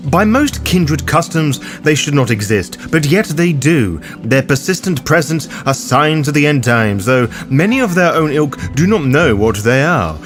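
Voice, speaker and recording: A man narrating a scripted guide as its host, with a theatrical, deliberate delivery and dry, irreverent asides. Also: on the high side, very animated, a bit bright, soft background music. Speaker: host of a roleplay guide show.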